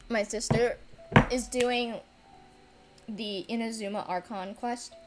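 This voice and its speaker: A woman's voice: a little high, steady tone, takes it slowly, over loud music. A woman streamer giving casual, rambling, joking commentary on a game in a hesitant way.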